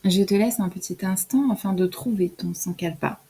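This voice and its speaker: Female meditation guide: mellow, soft and breathy, soothing scripted instruction for deep relaxation. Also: normal speed. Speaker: yoga nidra guide.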